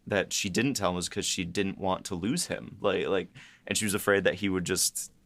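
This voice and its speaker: A woman co-hosting a podcast, chatting casually in an excitable, giggly, halting way. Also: rumbling low. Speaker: podcast co-host and writer.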